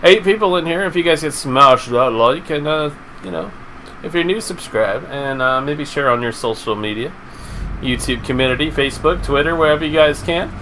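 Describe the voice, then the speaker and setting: A man's voice: a little high, moderate pace, fairly bright tone. A man in his thirties chatting casually and informally with viewers while livestreaming a video game from home, swearing now and then. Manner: informal, profane, talking to chat. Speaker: live game streamer. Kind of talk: casual livestream gaming chat and commentary. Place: indoors at a home streaming setup. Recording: noisy stream with clear background noise.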